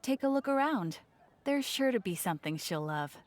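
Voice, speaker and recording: A woman's voice: fairly high pitch, expressive, moderate pace, slight background noise, natural voice. A woman voicing a friendly, chatty souvenir shopkeeper in a video game, with a chirpy sales patter. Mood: helpful, musing. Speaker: shopkeeper character.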